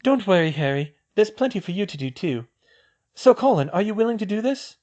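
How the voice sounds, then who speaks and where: This is a man reading a clever, determined teenage witch, decisive and organized. The voice sits high for a man and moves lively up and down. She sounds fast and sure of her plans. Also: highs cut off. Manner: brisk and decisive. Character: sharp, determined teen witch, organized planner.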